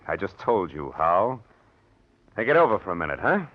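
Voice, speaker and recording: An actor playing a menacing, smug blackmailer in an old radio drama, taunting with mock-friendly wheedling. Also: male voice, low-pitched, sing-song, normal speed. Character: menacing, smug male blackmailer, mockingly sweet. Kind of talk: 1940s radio drama acting. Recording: muffled old radio broadcast recording.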